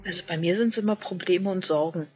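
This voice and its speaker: A woman's voice: fairly low pitch, a little fast, fairly bright tone, lo-fi sound, soft background music. A woman, a contestant talking casually and unscripted in a game.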